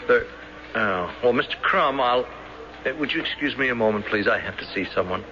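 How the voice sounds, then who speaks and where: A man acting in a 1970s radio drama as a timid, soft-hearted banker, hesitant, stammering, polite and formal. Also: medium pitch, animated, brisk, loud music behind the voice, lightly acted. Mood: flustered, polite. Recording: dull, noisy 1970s radio broadcast.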